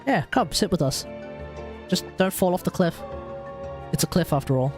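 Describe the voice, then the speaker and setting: A woman's voice: lowish voice, lively intonation, normal speed. A woman giving casual live commentary on a game, blunt and slangy, often repeating phrases, over game music. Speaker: game streamer.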